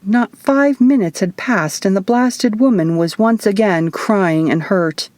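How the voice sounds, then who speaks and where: A woman's voice: medium pitch, a little slow, darkish tone, no room sound. A woman reading scripted first-person fiction as an audiobook narrator. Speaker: author reading own audiobook.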